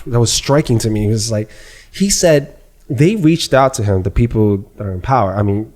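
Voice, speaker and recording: A man with a dark, mellow voice hosts a casual podcast chat. He talks excitedly and keeps repeating phrases for emphasis. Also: medium pitch, moderate pace. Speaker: podcast host.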